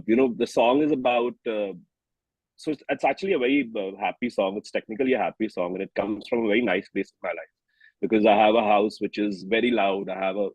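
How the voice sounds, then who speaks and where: A man's voice: on the low side, darkish tone, slightly rough, no room sound, poor audio. A man in his early thirties with an Indian accent, chatting informally as a singer-songwriter in an interview. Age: early 30s. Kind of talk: casual interview chat.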